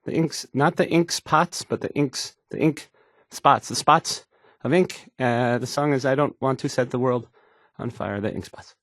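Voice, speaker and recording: A man's voice: mid-range voice, fairly bright tone, dry recording, studio quality, natural voice. An actor playing an awkward, insecure young male radio DJ who stammers and gets flustered introducing songs. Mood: flustered, unsure. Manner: halting, stammering, flustered, self-doubting. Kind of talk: stumbling radio song introductions.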